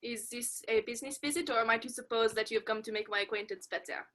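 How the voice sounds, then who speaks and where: An astute French chief of police voiced by a woman in a radio play. Formal and flattering, the voice is bright and fairly high. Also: quick pace. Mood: courteous. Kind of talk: 1940s radio play acting.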